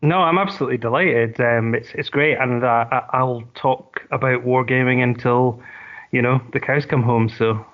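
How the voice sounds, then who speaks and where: A man's voice: mid-range voice, normal speed, dull audio. A middle-aged man with a Scottish accent, a podcast guest chatting casually with frequent ums and restarts. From Scotland. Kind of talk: casual chat.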